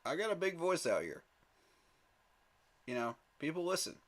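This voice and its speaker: A man's voice: on the high side, very animated, normal speed. A man hosting a live sports fan stream, talking straight to his chat in an emphatic, pleading way and chuckling at rival fans.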